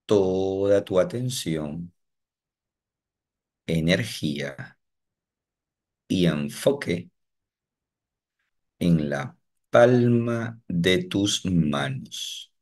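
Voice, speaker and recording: Man, guided meditation, very deep voice, extremely slow, calm pauses.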